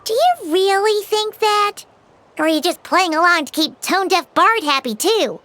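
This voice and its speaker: A woman voicing a tiny, excitable, blunt childlike fairy companion in a video game, with a squeaky delivery. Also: a little slow, slight background noise, heavily acted. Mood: incredulous. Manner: squeaky, speaks of herself in third person. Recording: music behind.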